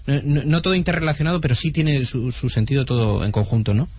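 A man's voice: medium pitch, animated, fast, slightly rough, no room sound. A man asking a long question in a radio interview, hesitant with many fillers. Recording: muffled radio with faint music.

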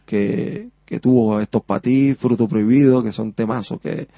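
A man's voice: medium pitch, fairly even intonation, normal speed, gravelly, dry recording, lo-fi sound. A man from Cuba with a Havana accent, a singer giving a chatty, rambling radio interview and often tagging on "sabes?". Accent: Havana Cuban Spanish. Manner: talkative, rambling, keeps asking "sabes?".

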